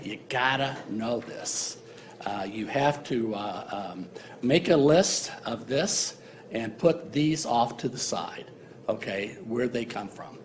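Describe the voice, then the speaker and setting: A man's voice: medium pitch, a little hiss or hum. A man giving a university embryology lecture in a casual, joking way, with many fillers. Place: lecture hall.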